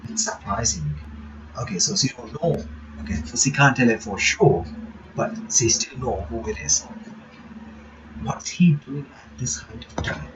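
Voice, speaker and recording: A man casually reading a visual novel aloud and commenting as he goes, thinking aloud in a halting way. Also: medium pitch, distant mic, background noise. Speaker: game reaction streamer.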